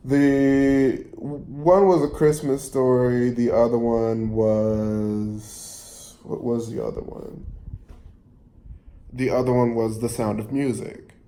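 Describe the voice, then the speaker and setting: A man telling a personal story casually, in a halting, rambling way, often rephrasing and repeating himself. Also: medium pitch, level tone, warm tone. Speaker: storyteller vlogger.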